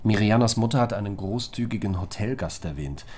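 A man's voice: on the low side, animated, fairly quick, darkish tone. An actor playing a deferential male junior investigator reporting to a superior in a radio drama.

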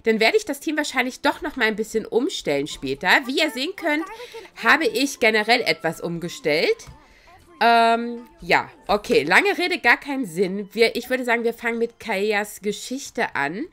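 Female game streamer with a cold, slightly raspy, bright, lively, chatty and giggly. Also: a little high, moderate pace, faint music behind. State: has a cold. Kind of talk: casual let's-play commentary.

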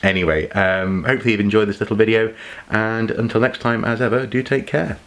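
Deep-voiced chatty man. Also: steady tone, brisk, a bit bright. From England. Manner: chatty, musing, lots of ums and repeated words. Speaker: archaeology YouTuber. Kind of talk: impromptu casual vlog explainer. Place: home study with shelves of collectibles.

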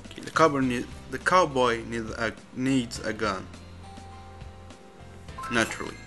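A man gives casual let's-play commentary, halting and thinking aloud as he plays. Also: medium pitch, pushed and bright, soft background music. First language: Spanish. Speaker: gamer commentating his play.

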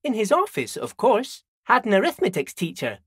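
A man's voice: high voice, wildly swooping pitch, normal speed, fairly bright tone, husky, no echo, studio quality, exaggerated voice. An actor playing a friendly, simple-minded old dockworker in a video game, folksy and rambling, repeating himself as he chats. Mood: friendly, chatty. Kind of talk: video game character dialogue.

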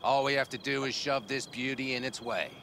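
An actor playing an informal, ribbing veteran of a nomad crew in a video game. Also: male voice, mid-range voice, a little fast, gravelly, bright, forceful tone, slight background noise. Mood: matter-of-fact. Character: teasing veteran nomad man, loyal crew member. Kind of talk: video game cutscene acting.

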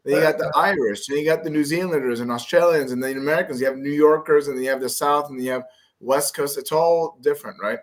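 A man's voice: fairly high pitch, fast, poor audio. A man on a video call with a headset, chatting casually and bluntly, swearing and acting out short texting examples. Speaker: guest.

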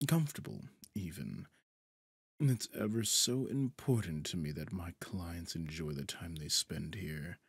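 A suave, possessive devil, played by a man for roleplay audio, speaks in a deep, breathy voice right up on a dry microphone. He takes his time, drawing out phrases with pompous, theatrical condescension and sinking often into near-whispers. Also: animated, measured pace, no room sound, full character voice. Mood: silky menace. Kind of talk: roleplay audio drama, ASMR-like monologue. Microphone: close, dry studio microphone.

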